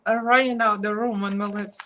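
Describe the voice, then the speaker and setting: A woman's voice: mid-range voice, steady tone, fairly quick, bright, forceful tone, slight background noise. A woman with a Russian accent chatting casually and giggling as she does her makeup live for viewers.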